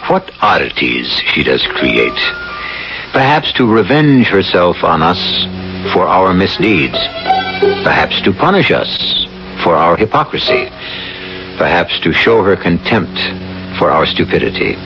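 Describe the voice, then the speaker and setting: Low, grandiloquent male radio drama host. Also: very animated, over loud music. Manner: grandiloquent, deliberate dramatic phrasing. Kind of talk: theatrical scripted narration. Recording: muffled old radio broadcast.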